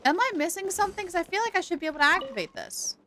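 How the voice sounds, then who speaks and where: A woman's voice: very high voice, sing-song, racing, sharp tone, a little hiss or hum. A woman streaming a game live, chatting to herself as she works out puzzles. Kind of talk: live gameplay commentary.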